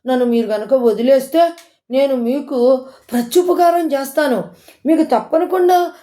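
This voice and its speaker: A woman's voice: sits high, moderate pace, mellow, natural voice. A woman voicing a tiny, polite young female mouse in a coaxing, eager storybook manner. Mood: pleading. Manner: coaxing, cutesy, repeatedly deferential.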